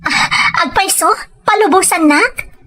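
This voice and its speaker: A woman playing a dutiful, modest wife and mother, gentle and a little hesitant, in an Ilocano audio drama. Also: very high-pitched, unhurried, exaggerated voice. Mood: pleading.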